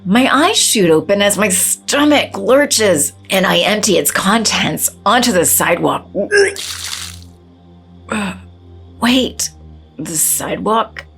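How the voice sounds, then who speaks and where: A woman's voice: mid-range voice, lively intonation, slow pace, gravelly, pauses often, a bit bright, faint music behind, exaggerated voice. An actress playing a fearful, shaken woman, narrating and acting unsteadily in an audio drama. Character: fearful, shaken wife and schoolteacher.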